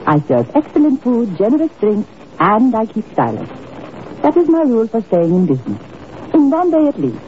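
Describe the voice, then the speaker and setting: A poised, mysterious nightclub hostess speaks with wide, expressive intonation, gracious yet guarded. It is vintage radio drama with a narrow, slightly noisy sound. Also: female voice, on the high side, moderate pace. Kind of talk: 1950s radio drama acting. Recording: muffled, noisy old radio broadcast recording.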